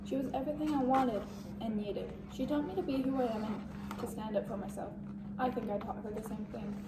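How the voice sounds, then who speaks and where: A woman's voice: a little high, a little fast, close to an everyday voice. A woman playing a sincere, pressured, romantic teenage football star in an amateur dramatic monologue. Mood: tender. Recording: echoey, distant, very noisy room.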